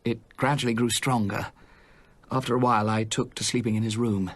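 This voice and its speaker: A man plays a steadfast, sombre British gentleman in a radio play. His voice is medium in pitch and rather husky, and he speaks with guarded reserve. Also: fairly even intonation, fairly quick, close to an everyday voice. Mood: grave, reluctant. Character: grave, guarded gentleman, steadfast confederate in a plot. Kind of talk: British radio drama acting.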